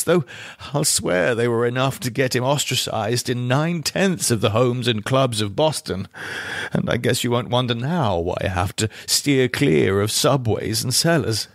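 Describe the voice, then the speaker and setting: Male narrator, horror audiobook style, theatrical, suspenseful, mid-pitched voice, very dry close recording. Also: no echo. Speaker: podcast narrator reading horror fiction. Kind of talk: dramatic audiobook narration of a first-person horror story.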